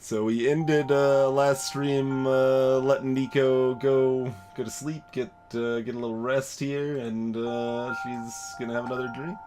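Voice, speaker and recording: A man doing casual live game commentary as a streamer, thinking aloud in a halting, wry way. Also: medium pitch, little pitch movement, darkish tone. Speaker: let's play streamer. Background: game music.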